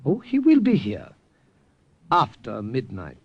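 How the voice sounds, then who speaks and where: Courteous Siamese major, very animated. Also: male voice, medium pitch, husky, no room sound, dull audio, heavily acted. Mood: gracious, composed. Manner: polite, measured, formally precise English. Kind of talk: old-time radio drama acting. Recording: noisy old radio recording with clicks.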